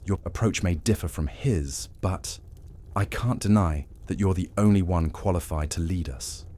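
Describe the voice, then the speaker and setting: A scheming vampire advisor, played by a man, low and mellow in tone. He speaks in a measured, persuasive way and dodges questions. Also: lightly acted. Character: old male vampire advisor, evasive and scheming. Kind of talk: audio drama acting.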